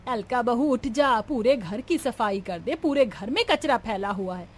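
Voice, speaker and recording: A woman's voice: on the high side, animated, a little slow, soft background music. A woman playing an elderly, partial, grumbling mother-in-law in a cartoon family drama, with a melodramatic, exclaiming manner. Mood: demanding.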